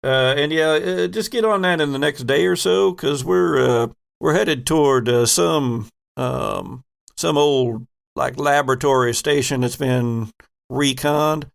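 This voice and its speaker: A man's voice: on the high side, creaky, clean tone. A man playing a polite, hesitant spaceship boss who hedges his way through sentences in a tabletop game. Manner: halting, hedging, mild-mannered. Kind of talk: improvised in-character dialogue in a tabletop game.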